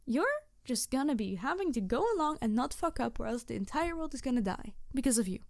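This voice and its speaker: Woman acting a snarky high-voiced female supervillain. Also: lively intonation, gravelly, a little mellow, dry recording, clean studio sound. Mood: snarky, defiant. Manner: halting, sighing, emotionally candid, sardonic. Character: snarky female supervillain, passionate, flustered, secretly tender nemesis. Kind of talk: ASMR audio roleplay monologue.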